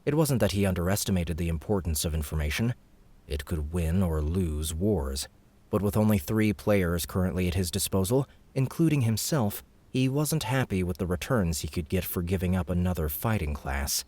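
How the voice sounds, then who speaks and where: A man narrating an audiobook in a steady, measured storytelling manner, close to the mic. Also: deep voice, no echo. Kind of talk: scripted narration.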